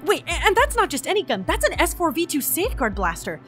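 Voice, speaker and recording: A woman playing an excitable, irreverent field agent logging a mission in an audio drama, informal and easily flustered. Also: very high voice, quick pace, soft background music, heavily acted. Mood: alarmed. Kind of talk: scripted audio drama acting.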